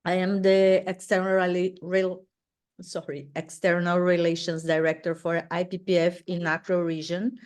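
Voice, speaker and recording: Brazilian-accented woman, flat low delivery, polite questioner on a video call. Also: normal speed. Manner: polite, searching for words, restarts. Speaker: external relations director.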